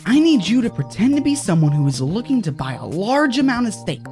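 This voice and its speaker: A woman's voice: medium pitch, sing-song, a little fast, mellow, soft background music. A woman voicing a scheming, sinister fixer who gives orders in a bossy, theatrical way in an audio horror comedy. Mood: commanding, scheming. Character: scheming, commanding, sinister fixer named Fred.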